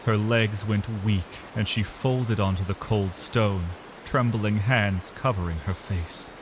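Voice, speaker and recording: A man giving solemn, dramatic scripted narration, grave and measured, pausing between short phrases. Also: lowish voice, background noise. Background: cinematic music.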